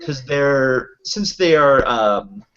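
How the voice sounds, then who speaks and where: A man's voice: medium pitch, lively intonation, slow, a bit bright. A man playing a tabletop roleplaying game, explaining things in casual, rambling chat. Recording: dull lo-fi online voice call.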